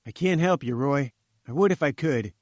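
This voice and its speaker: A man's voice: mid-range voice, animated, normal speed, no echo, natural voice. Man voicing breezy young journalist, dry close sound. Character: brisk young male journalist.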